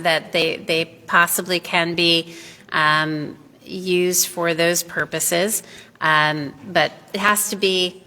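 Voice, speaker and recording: Low-voiced woman explaining city funding. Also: sharp tone. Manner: measured, hesitant with many fillers. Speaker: city official presenter. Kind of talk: explanatory presentation. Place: city public meeting hall. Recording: reverberant hall.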